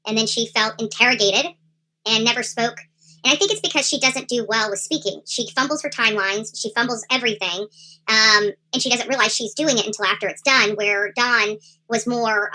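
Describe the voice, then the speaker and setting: A woman hosting a livestream in a casual, rambling commentary style with halting delivery. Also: a little high, sharp tone, poor audio. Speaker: livestream host. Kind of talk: casual livestream chat, true crime commentary.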